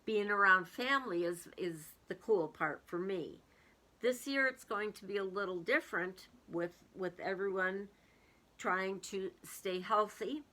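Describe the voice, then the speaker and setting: A woman's voice: medium pitch, a little slow, pushed and bright. A woman reading a storybook aloud to young children, expressively, with casual musing commentary.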